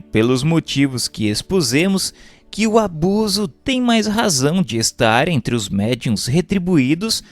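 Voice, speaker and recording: Guy reading an audiobook, formal and measured, kinda teachy, music in the background. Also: a little high. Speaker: audiobook narrator. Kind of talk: scripted narration, reading a religious book aloud.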